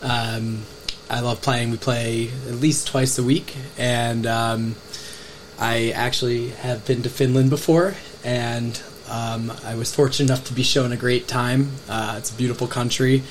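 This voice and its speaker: A man's voice: mid-range voice, little pitch movement, unhurried, rough recording. Podcast guest, man, mid-range voice, friendly and hesitant, faint noise behind. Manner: friendly, many "um"s. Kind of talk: casual chat.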